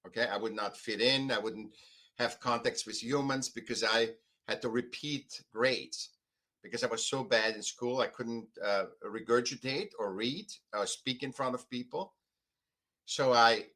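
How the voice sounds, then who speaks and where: An older man from Austria with an Austrian accent, telling his own story conversationally as a podcast guest in a halting, emphatic way. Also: mid-range voice, sharp tone. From Vienna, Austria. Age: 50s or older. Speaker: podcast guest, artist and author.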